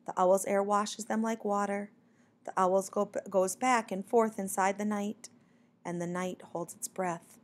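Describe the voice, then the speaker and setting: A woman's voice: medium pitch, steady tone, measured pace, lightly acted. A woman voicing a shy, earnest little male bat poet in a storybook, with a timid, hesitant manner. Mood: hushed, solemn.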